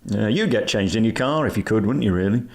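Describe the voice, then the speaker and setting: A man's voice: fairly low pitch, animated, quick pace, darkish tone, a little hiss or hum. A man hosting an interview, jokey and teasing with his guest. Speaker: interviewer host. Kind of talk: casual interview chat.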